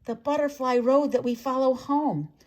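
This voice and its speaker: A woman's voice: high voice, fairly even intonation, normal speed, darkish tone, pitched above the performer's own voice, close to an everyday voice. A woman voicing a swarm of fragile, frightened, lost butterflies in a storybook, delicate and pleading. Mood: lost, plaintive.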